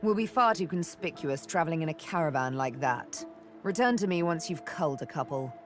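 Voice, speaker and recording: A woman voicing a confident, menacing rogue assassin in a video game, poised, deliberate and teasing. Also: deep voice, expressive, over music.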